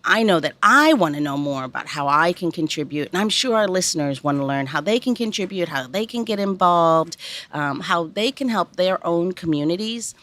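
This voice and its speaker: Woman, podcast host, very lively intonation, lowish voice, chatty, conversational. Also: moderate pace.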